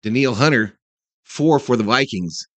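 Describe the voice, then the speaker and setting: A man's voice: medium pitch, animated, normal speed, no room sound. A man hosting a sports livestream, giving informal, chatty pregame commentary and talking directly to his viewers. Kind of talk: casual live pregame commentary.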